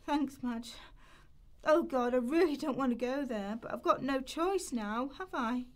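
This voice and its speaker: Woman acting high-pitched, flustered young mother. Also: measured pace, a little mellow. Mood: resigned. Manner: flustered, apologetic, pleading. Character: desperate young mother pleading over sanctioned benefits. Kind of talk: amateur radio play rehearsal.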